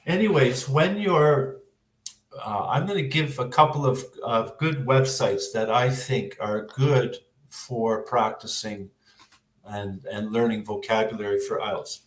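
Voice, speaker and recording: Slow-talking Canadian man, echoey room. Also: medium pitch. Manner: measured, hesitant, pausing often. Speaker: English teacher giving exam advice. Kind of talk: explanatory interview answers. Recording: roomy, echoing sound.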